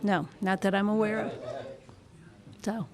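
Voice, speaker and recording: A woman giving an informal report at a meeting into a microphone, speaking in a tentative, halting way. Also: mid-range voice, steady tone. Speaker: club member giving a report.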